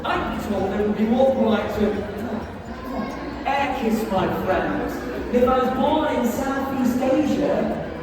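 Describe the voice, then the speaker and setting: An English science show presenter, lively and coaxing a young audience with questions, in a large museum hall. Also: mid-range voice, slow pace. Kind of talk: live science show for children. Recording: echoey hall, mic far off, crowd noise.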